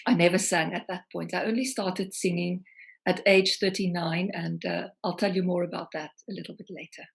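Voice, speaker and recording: A woman's voice: lowish voice, steady tone, fairly quick, vocal fry. A middle-aged South African woman, a singer-songwriter, talking reflectively over a video call with many ums. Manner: warm, reflective, frequent ums.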